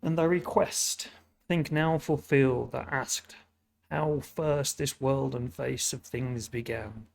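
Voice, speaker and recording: A British man with a sniffly, hoarse voice, reading the part of an archangel who teaches patiently in solemn, instructive verse. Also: medium pitch, steady tone, slow pace.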